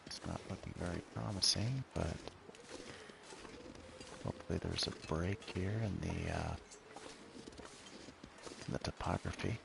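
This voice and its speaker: Very gravelly low-voiced man, noisy background. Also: fairly even intonation, measured pace, long pauses. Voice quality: gravelly, soothing smoker's voice. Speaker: game streamer. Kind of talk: casual survival game commentary.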